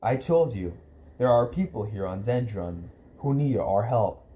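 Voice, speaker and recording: A man voicing a calm, strong uncle in an audiobook, speaking slowly, firmly and patiently. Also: on the low side, pitched below the performer's own voice. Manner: slow, firm, patient. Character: calm, firm, strong adult uncle and mentor.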